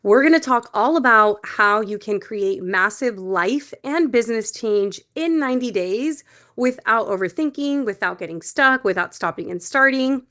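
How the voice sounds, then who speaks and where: A woman's voice: fairly high pitch, animated, moderate pace, a bit bright. A woman with a stuffy, slightly nasal voice from a cold, giving an encouraging motivational webinar as a coach and podcast host. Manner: encouraging, direct address to listeners. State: congested, a little nasal from a cold.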